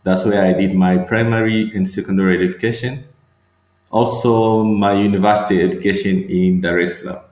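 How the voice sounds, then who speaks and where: A middle-aged man from Tanzania speaks in a low voice, taking his time and searching for words between fillers. He is answering interview questions, and his voice rings in an echoey room. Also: fairly even intonation, a little mellow. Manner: slow and thoughtful, with frequent fillers and repeated words. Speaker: guest, regional manager and medical doctor.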